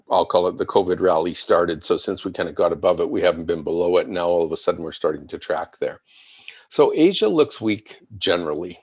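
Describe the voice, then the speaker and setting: A man giving unscripted analyst commentary on charts, measured and explanatory, thinking aloud as he presents. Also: low-pitched, no echo, studio quality. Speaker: financial market analyst presenting.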